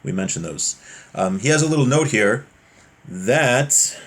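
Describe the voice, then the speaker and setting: A man's voice: mid-range voice, expressive, measured pace. A man teaching a religious class informally, reading from a text and explaining it conversationally with everyday examples.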